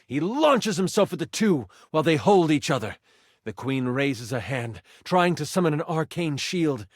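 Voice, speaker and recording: A man's voice: a little high, big pitch swings, moderate pace, no echo, clean studio sound. A man narrating as game master in a dramatic, theatrical, suspenseful fantasy storytelling style.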